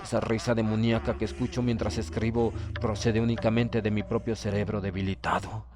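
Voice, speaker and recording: Un hombre que lee un audiolibro de terror como un orgulloso oficial naval prusiano condenado, con tono grave y pausado. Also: male voice, on the low side, little pitch movement, lightly acted. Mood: resigned resolve. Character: proud, doomed Prussian naval officer writing his last account. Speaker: audiobook reader. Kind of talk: dramatic horror audiobook narration.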